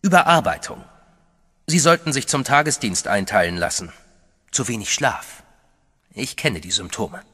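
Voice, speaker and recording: A tall, sinister man with a husky, forceful voice. He is smug and dismissive, in a horror radio play with long reverb and faint music behind. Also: medium pitch, lively intonation, normal speed, pauses often. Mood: mocking. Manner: smug, mocking, brushing others off. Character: tall, grim, demonic-looking man, mockingly dismissive.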